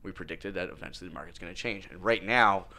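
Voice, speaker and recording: Canadian man, podcast guest, lowish bright voice, chatty storyteller. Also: fast talker. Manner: chatty storyteller, acts out quotes. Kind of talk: casual chat. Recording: podcast studio mic.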